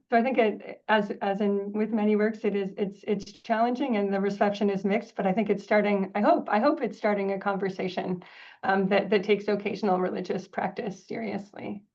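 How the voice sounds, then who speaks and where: A woman author answering audience questions in an academic webinar, explaining in a measured, thoughtful way over a video call. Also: mid-range voice, fairly even intonation, creaky, darkish tone, dull audio. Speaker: author presenting her book.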